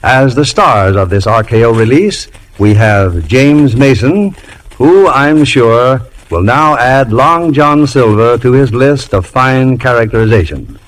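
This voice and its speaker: A male radio host with a medium-pitched voice. He presents formally and smoothly from a script, in the polished manner of 1950s announcers. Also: expressive, measured pace, poor audio.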